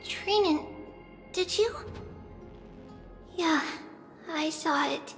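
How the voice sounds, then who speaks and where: A woman's voice: very high voice, very slow, a bit bright, exaggerated voice. A woman voicing a small, chirpy, earnest and curious little girl with a childlike manner in a video game dub. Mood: curious, puzzled. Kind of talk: English game dub acting. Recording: reverberant game audio with background music.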